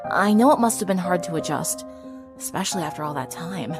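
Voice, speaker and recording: A woman's voice: fairly low pitch, animated, brisk, music in the background, close to an everyday voice. A woman voicing a kind, earnest teenage girl with a patient, easily embarrassed manner in a dramatic story reading. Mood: sheepish. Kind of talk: dramatic fan-fiction reading.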